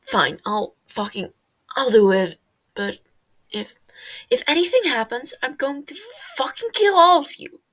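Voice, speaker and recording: A woman's voice: sits high, sing-song, unhurried, a bit bright, pitched above the performer's own voice, heavily acted. A woman voicing a brash, foul-mouthed sixteen-year-old boy in an audio story, blustering and tripping over words. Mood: defiant, furious. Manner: swearing, blustering, stumbling over words in agitation.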